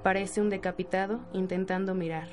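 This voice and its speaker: A woman's voice: mid-range voice, fairly even intonation, brisk, a little mellow, soft background music. A woman reading scripted narration for a radio drama.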